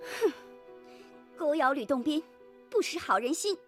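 An actress playing a caring wife who fondly scolds and fusses, in a Chinese radio drama. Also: female voice, sits high, expressive, measured pace, a bit bright, faint music behind. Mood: hurt, teasing. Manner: fond, fussing.

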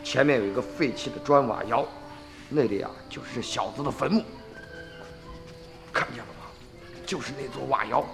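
An actor playing a cold, scheming male grave robber in a radio drama, with a gravelly voice and a low, menacing way of talking. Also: moderate pace, long pauses, over music, natural voice. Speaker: elder of two grave robbers.

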